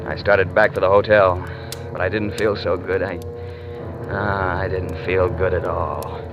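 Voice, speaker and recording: Weary noir lead, lowish pitch, stubborn and slangy, old radio play with music behind. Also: male voice, flat delivery, unhurried, dull audio. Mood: wry, weary narration. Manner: slangy, wry, terse. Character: world-weary hard-boiled male investigator in love, stubborn. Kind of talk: 1940s radio drama acting, first-person narration.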